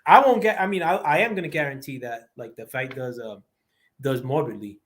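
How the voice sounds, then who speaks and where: A man with a squeaky voice ranting casually and profanely on his live stream, rambling with many fillers. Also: brisk, fairly bright tone. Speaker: livestream host. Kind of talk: casual boxing rant.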